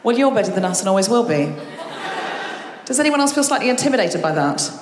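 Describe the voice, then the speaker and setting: A woman hosting a live comedy show on stage, teasing and chatting quickly with the audience. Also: mid-range voice, sing-song, background noise. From Australia. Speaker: comedy podcast host. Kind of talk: live stand-up crowd work. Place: large concert hall with live audience. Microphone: stage microphone. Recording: roomy hall with long reverb.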